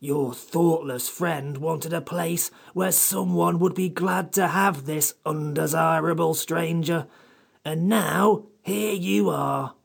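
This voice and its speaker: A man voicing a haughty, complaining furry magical creature in a creaky, husky storybook character voice. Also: fairly high pitch, slow, pitched above the performer's own voice, full character voice. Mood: irritated, disdainful. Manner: crabby, pompous, disdainful.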